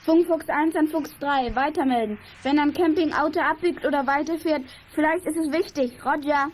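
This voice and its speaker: A woman playing an alert, excitable child detective giving clipped reports in a children's radio play. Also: sits high, moderate pace, a little hiss or hum, close to an everyday voice, rough recording. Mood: alert, urgent.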